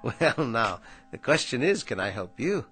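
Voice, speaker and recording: An actor playing a sly, smooth-talking male salesman in a radio drama. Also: medium pitch, measured pace, faint music behind. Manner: wheedling, sly, lively.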